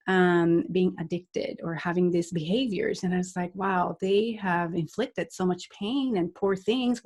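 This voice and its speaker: A woman's voice: on the low side, darkish tone. A woman, a life coach talking earnestly and reflectively as a podcast guest. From Puerto Rico. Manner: earnest, reflective, with frequent restarts and laughs. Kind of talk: podcast interview.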